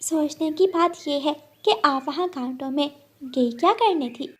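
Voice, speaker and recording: A woman's voice: high-pitched, unhurried, voice pushed up. A woman voicing a kind, helpful little female cartoon bird, polite and curious. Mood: concerned, curious.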